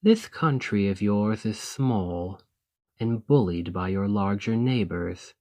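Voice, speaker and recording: A man's voice: on the low side, expressive, a little mellow. A man playing an ambitious foreign noble in a fantasy audio roleplay, speaking in a formal, composed, courteous and persuasive manner. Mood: formal, coolly persuasive. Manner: formal, measured, deliberate, condescendingly sympathetic. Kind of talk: scripted fantasy ASMR roleplay monologue.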